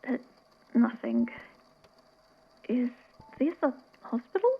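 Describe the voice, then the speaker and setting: Breathy, slow, dazed woman, acted, muffled audio. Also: on the high side, animated, full character voice. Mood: dazed, weak. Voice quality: breathy, often whispery. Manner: halting, disoriented. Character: dazed, confused young woman waking in hospital. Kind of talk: audio drama acting.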